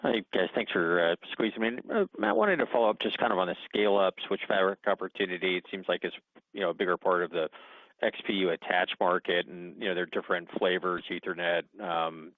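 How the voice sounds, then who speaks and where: A man's voice: low voice, dry recording. A man speaking as a financial analyst, putting questions on an earnings call. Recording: roomless, tinny phone line.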